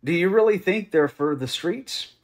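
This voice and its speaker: Man doing a raised-pitch, mock-earnest slang scripture reader. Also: expressive, moderate pace. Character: dramatic slang-spouting reader of Gen Z scripture parody. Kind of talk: rhythmic read-aloud of slang verse.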